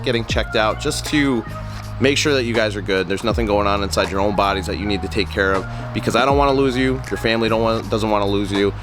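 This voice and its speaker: A young man with a nasal voice from a cold, talking in an easygoing, earnest way through a casual car walkaround vlog with many ums and uhs. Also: lowish voice. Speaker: car vlogger. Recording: music playing underneath.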